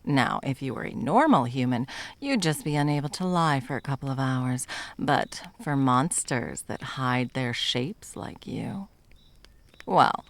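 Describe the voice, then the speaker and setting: An intense female monster hunter, acted by a woman for an ASMR roleplay. Her voice is gravelly and creaks at the edges. She speaks with deliberate, dramatic menace and open scorn. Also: very deep voice, heavily acted.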